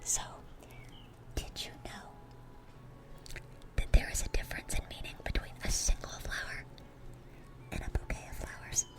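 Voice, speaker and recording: A woman's voice: moderate pace, pauses often, natural voice. Woman playing a whispering dorky sweet demon girl. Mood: earnest, enthusiastic. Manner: gentle, rambling, earnest, affectionate. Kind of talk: whispered ASMR roleplay.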